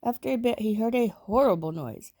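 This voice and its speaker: A woman reading a children's story aloud in a measured, expressive storytelling manner. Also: fairly high pitch, no room sound, studio quality. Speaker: storybook reader.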